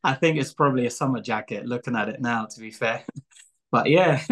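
A man's voice: medium pitch, normal speed. Chatty Angolan-born man, rambling. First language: Portuguese. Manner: chatty, rambling, frequent fillers and laughs. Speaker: guest, show creator. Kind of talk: casual interview talk.

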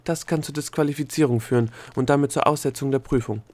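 A man's voice: mid-range voice, brisk, warm tone, pitched above the performer's own voice, lightly acted. A man voicing a cold, officious female examiner. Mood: strict, cold. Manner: formal, solemn, stern, polite. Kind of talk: audiobook character voice.

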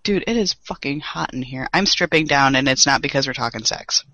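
A man's voice: medium pitch, lively intonation, brisk, bright, forceful tone. A young man co-hosting a casual podcast chat, joking and swearing freely, with a dry voice today. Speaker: podcast co-host.

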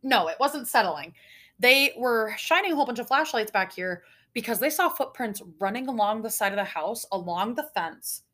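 A woman's voice: fairly high pitch, normal speed. A young woman podcast co-host with a nasal voice, telling a story in casual chat, swearing often. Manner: animated, swears often, tells stories breathlessly.

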